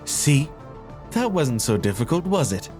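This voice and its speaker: A man plays a young school boss in a comic dub, cold and condescending. He speaks slowly and deliberately with quiet menace, over prominent music. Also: mid-range voice, sing-song. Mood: cold, threatening. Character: cold, commanding, condescending teenage school leader. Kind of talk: amateur comic dub acting.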